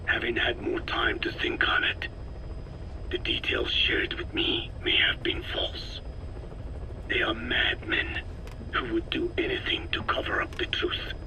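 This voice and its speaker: An actor playing a frail old scientist in a video game, speaking slowly and precisely in a weak, breathy rasp. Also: male voice, medium pitch, monotone, bright, forceful tone, whispered, full character voice, rough recording. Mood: bitter, brooding. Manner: slow, deliberate, precise, scientific. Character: frail old male scientist explaining his work, measured and grave. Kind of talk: dramatic game voice acting, expository dialogue.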